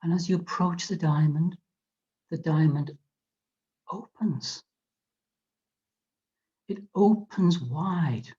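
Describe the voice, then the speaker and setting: A meditation guide leading a guided visualization in a soft, often whispered voice, speaking gently with soothing repetitions. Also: mid-range voice, husky. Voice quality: soft, frequently whispered delivery. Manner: slow, gentle, drawn-out phrasing with soothing repetitions. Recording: dull lo-fi recording.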